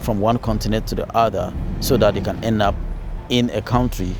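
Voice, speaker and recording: A man's voice: on the low side, fairly even intonation, a little fast, over music. A man from Ghana, a city councilor, giving earnest interview answers with fillers and repeated phrases.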